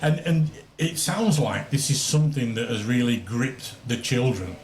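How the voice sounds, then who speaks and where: A man hosting a live radio interview in a chatty, halting way, often rounding off remarks with tag questions. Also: mid-range voice, a little mellow. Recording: echoey with clear background noise.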